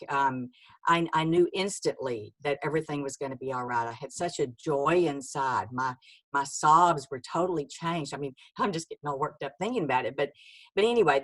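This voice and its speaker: A woman's voice: deep voice, no room sound, poor audio. A woman in her sixties with a Texan accent, a guest author telling her life story earnestly, with many fillers and restarts. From Texas, USA. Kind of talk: casual personal storytelling.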